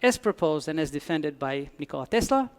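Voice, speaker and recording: A man's voice: on the high side, expressive, brisk, smooth. A man from Albania, giving a conference talk with dry humor and frequent restarts. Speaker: conference speaker. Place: conference hall.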